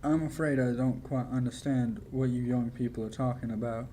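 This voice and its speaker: Young man playing mellow, nervous old clock repairman. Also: medium pitch, steady tone, close to an everyday voice. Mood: nervous, polite. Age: young adult. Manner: hesitant, halting, polite. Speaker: amateur high school actor. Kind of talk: 1940s radio play acting. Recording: noisy amateur radio play recording.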